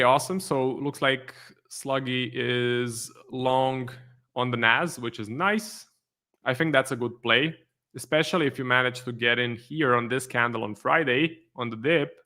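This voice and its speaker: A man's voice: medium pitch, moderate pace. Croatian-accented man hosting a livestream. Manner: relaxed, reads viewer questions aloud and answers them. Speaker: trading livestream host. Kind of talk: casual livestream commentary.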